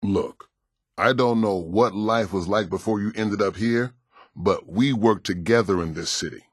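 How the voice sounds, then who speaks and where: An actor playing a kindly, world-weary pastor in a video game, with an American accent and a gentle, folksy, plain-spoken way of talking. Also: male voice, lowish voice, measured pace, husky, no echo, clean studio sound. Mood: welcoming, earnest.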